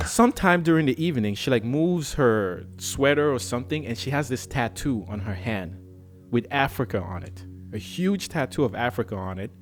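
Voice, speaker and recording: A man from Cameroon hosting a podcast, telling a personal story in a lively, casual way and acting out the dialogue. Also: moderate pace, faint music behind. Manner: animated, acts out dialogue with swings in pitch. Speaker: podcast host.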